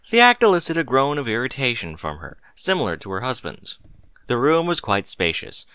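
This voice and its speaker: A man reading a story aloud as narrator, in expressive scripted narration. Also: on the low side, dry recording, clean studio sound.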